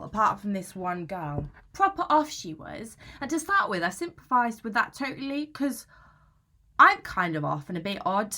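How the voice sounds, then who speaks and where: A woman's voice: mid-range voice, bright, forceful tone. A woman playing an anxious, aggrieved young British student in a conversational, confessional dramatic monologue, chatty, slangy and self-justifying. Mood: nervous, confiding. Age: young adult. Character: anxious young female student, aggrieved and defensive about housemate.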